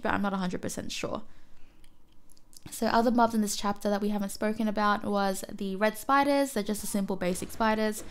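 A woman with a cough-roughened voice narrating a mobile game guide, explaining enemy patterns and often correcting herself. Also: medium pitch, a little hiss or hum. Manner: explanatory, self-correcting, apologetic. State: hoarse from a cough. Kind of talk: gameplay guide voice-over.

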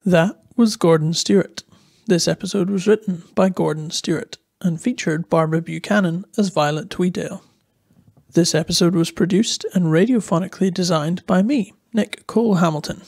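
A man's voice: sits high, moderate pace, vocal fry, darkish tone, no echo, studio quality. A man reading a scripted podcast outro with credits, as the show's producer.

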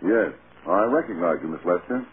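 An actor playing a grave, reproving court judge with a clipped, formal delivery in 1930s radio style. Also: male voice, low-pitched, lively intonation, normal speed. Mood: stern. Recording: scratchy, tinny 1930s radio broadcast.

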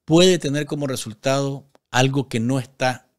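A man's voice: medium pitch, normal speed. A man in his forties with a Nicaraguan accent, giving live political commentary as a host, emphatic, repeating phrases and asking rhetorical questions. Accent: Nicaraguan Spanish. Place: small indoor studio at a desk. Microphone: desk studio microphone.

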